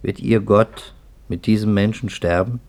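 An actor playing a calm, probing investigator in a 1950s radio drama, measured and quietly challenging. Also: male voice, fairly low pitch, lightly acted.

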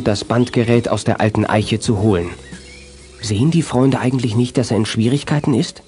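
A man's voice: medium pitch, warm tone, lightly acted. A man narrating a scripted German children's detective radio play as its storyteller.

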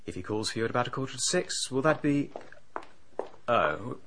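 A man's voice: mid-range voice, lively intonation, normal speed, a bit bright, slight background noise, natural voice. An actor playing a polite, efficient male private secretary in a radio drama, formal and obliging in manner. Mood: polite, businesslike. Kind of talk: BBC radio drama acting.

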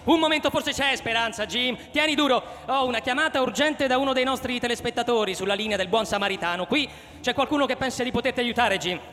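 An actor playing a breathless, sensationalist game show host in a radio drama, frantic and theatrical. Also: male voice, extremely high pitch, fast, sharp tone, long reverb tail. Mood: excited. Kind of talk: live radio drama acting with musical accompaniment.

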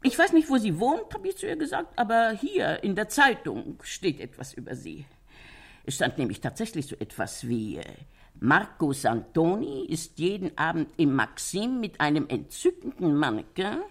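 A woman playing a talkative older Parisian landlady in a German radio crime drama, gossipy but sympathetic, chatting and retelling with a husky voice. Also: on the low side, big pitch swings, normal speed, heavily acted. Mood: sympathetic, wistful. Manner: chatty storytelling, quotes others animatedly.

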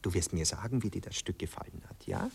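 Husky, affected, low-voiced Viennese poet. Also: male voice, expressive, fast, heavily acted. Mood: coaxing, self-important. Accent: Austrian, Viennese. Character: affected, dreamy, self-absorbed male poet and lover. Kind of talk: theatrical radio play acting.

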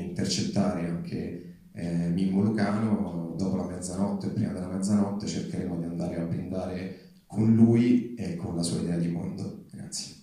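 Low-voiced man speaking in an echo-heavy hall. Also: warm tone. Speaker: speaker at a public event. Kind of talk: informal public speech. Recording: very echoey hall, distant mic.

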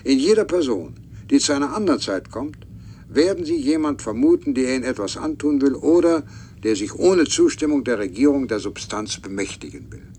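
An actor playing a solemn, formal official reading a letter deliberately in a German radio play. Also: male voice, medium pitch, sing-song, warm tone, a little hiss or hum, close to an everyday voice. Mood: solemn, measured.

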